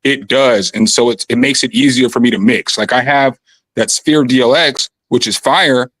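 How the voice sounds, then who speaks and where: A man with a raspy voice chatting casually and loosely from his home studio, full of slang and fillers. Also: medium pitch, normal speed, a bit bright, no room sound. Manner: laid-back, slangy, rambling with fillers. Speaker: guest musician on a video call. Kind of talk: casual chat. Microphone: condenser studio mic.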